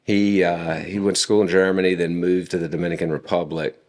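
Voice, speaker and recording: A very low-pitched man in his forties speaks as a podcast guest. He tells stories about his family in an unhurried, conversational manner, often pausing on fillers. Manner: relaxed storytelling with frequent fillers. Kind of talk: casual conversation.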